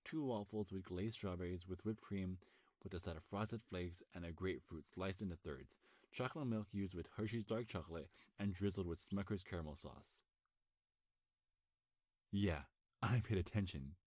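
A young man playing a nervous, lovestruck best friend in an intimate ASMR roleplay, speaking earnestly with a halting stammer. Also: sits low, darkish tone, no room sound, studio quality. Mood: pleading, lovestruck.

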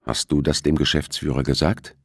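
A man voicing a stern, calm father who speaks in terse commands, in an audiobook. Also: very deep voice, moderate pace, slightly rough, no room sound. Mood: probing.

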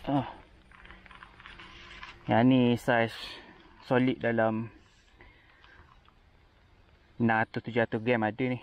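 A man with a Kelantanese Malay accent chatting casually through a fishing vlog, with quick excited exclamations, filmed outdoors on a riverbank with a body-worn action camera. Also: medium pitch, muffled recording, a little hiss or hum. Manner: chatty, excited exclamations while reeling fish. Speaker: fishing vlogger. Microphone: chest- or head-mounted action camera.

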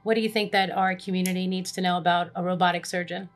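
A woman hosting a hospital podcast in a studio, asking brisk, friendly questions into a desk microphone. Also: lowish voice, steady tone, soft background music. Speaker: podcast host. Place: small studio set with plant and armchairs. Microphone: desk podcast microphone on arm.

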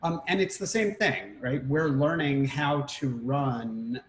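A middle-aged man, a rabbi, speaking to his congregation over a video call in a measured, deliberate way with many fillers. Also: mid-range voice, lively intonation, slight background noise, poor audio. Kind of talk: town hall remarks to a congregation.

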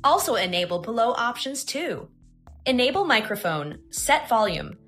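A woman presenting software in a scripted tutorial narration. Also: fairly high pitch, very animated, normal speed, faint music behind.

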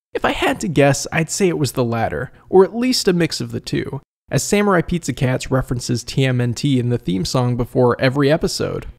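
Male review narrator, wry and expressive, lively intonation with vocal fry. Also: mid-range voice. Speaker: video essay host and reviewer. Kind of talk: scripted narration, comedic review.

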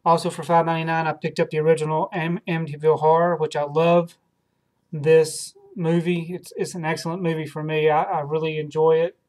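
Flat-voiced man with many ums. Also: fairly high pitch, a little fast. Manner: hesitant, many ums and repeated words. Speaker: video host, collector. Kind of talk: casual haul video. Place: home room with shelves of films. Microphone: webcam at desk.

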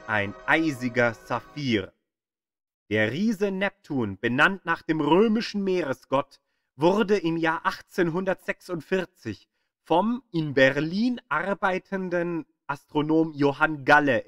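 A man reading a scripted educational audio guide in a measured, emphatic storytelling manner, with pauses. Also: fairly high pitch, fairly bright tone, dry recording, studio quality.

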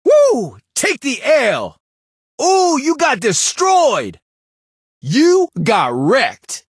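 A man's voice: extremely high pitch, wildly swooping pitch, very slow, husky, dry recording, clean studio sound, heavily acted. A young man voicing a cocky, hyped young brawler from a video game, brash and exclamatory in slangy short lines. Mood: cocky, boastful.

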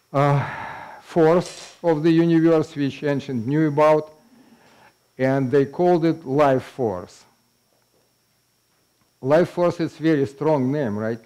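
An older man with a Russian accent giving an unhurried, explanatory lecture to a small audience. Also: a little high, mellow, clear, resonant tone, low-quality recording. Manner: unhurried, explanatory, with occasional laughs. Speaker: guest lecturer. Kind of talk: public lecture. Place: small meeting room with audience. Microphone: headset mic.

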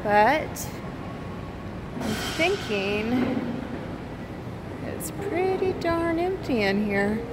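A woman filming a walking vlog through an empty mall on her phone, musing aloud in a wistful way with soft hums. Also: a little high, sing-song, extremely slow, pauses often, very noisy. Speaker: vlogger. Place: inside an empty shopping mall. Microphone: phone camera held while walking.

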